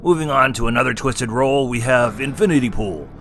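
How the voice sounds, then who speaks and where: A man doing a bright gravelly clown host. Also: mid-range voice, a little fast, faint music behind, close to an everyday voice. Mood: wisecracking. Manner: punny, teasing. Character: male clown horror host, wisecracking. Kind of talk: scripted comedic review banter.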